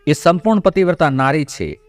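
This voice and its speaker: A man's voice: on the high side, very animated, a little fast, no room sound, soft background music. A man voicing a proud, trusting royal servant who speaks confidently and emphatically, yet deferentially, in a moral tale. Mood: confident, insistent. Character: proud, trusting male royal servant, staking his life.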